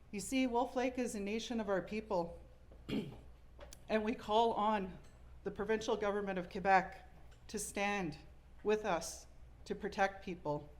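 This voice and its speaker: Woman chief giving a formal press speech, firm and deliberate. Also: mid-range voice. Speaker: First Nation chief.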